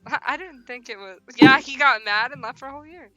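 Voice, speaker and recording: A woman hosting a casual game live stream, expressive and slangy in her banter. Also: sits high, fast talker, dull audio. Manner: slangy, expressive, prone to giggling. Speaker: streamer hosting a visual novel playthrough.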